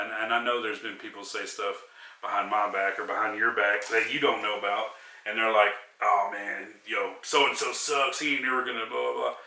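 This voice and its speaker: A man's voice: fairly low pitch, pushed and bright, reverberant, recorded from a distance. A man interviewing a guest casually, repeating affirmations and encouraging him.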